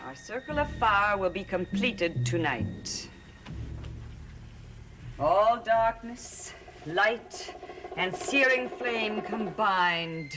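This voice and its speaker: Slow, solemn witch high priestess. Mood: fervent, ceremonial. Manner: solemn, commanding, incantatory. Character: imperious witch high priestess leading a devilish cult. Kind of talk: gothic soap opera acting.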